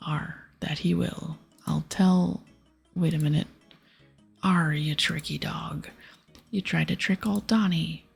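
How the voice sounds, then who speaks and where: A woman voicing a boastful male pirate captain from a game in a breathy whisper, with piratical "arr" and "ye" dialect. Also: low voice, fairly even intonation, slow pace, soft background music, heavily acted. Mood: sly, scolding. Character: gruff, boastful old male pirate captain, fond of rum. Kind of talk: reading game dialogue aloud in ASMR.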